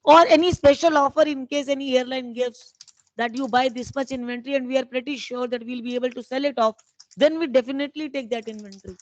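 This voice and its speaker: A woman's voice: high voice, steady tone, quick pace, muffled recording. A woman with an Indian accent answering as a company executive on an investor conference call, explanatory and matter-of-fact.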